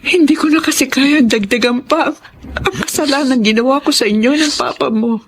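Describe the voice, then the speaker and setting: An actress playing a middle-aged, guilt-ridden Filipino mother in a radio drama, speaking haltingly and tearfully. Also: high-pitched, slightly rough. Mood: pleading, tearful. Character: remorseful mother confessing to her abandoned daughter.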